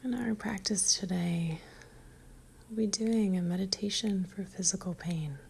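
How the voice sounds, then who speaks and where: A woman leading a guided meditation in a soft, breathy near-whisper, calm and gentle, leaving long pauses. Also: mid-range voice.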